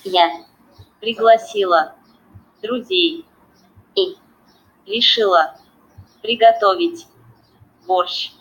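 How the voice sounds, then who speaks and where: A woman's voice: mid-range voice, big pitch swings, slow, long pauses, a bit bright, breathy edge, rough recording. A synthetic female text-to-speech voice reading text aloud automatically.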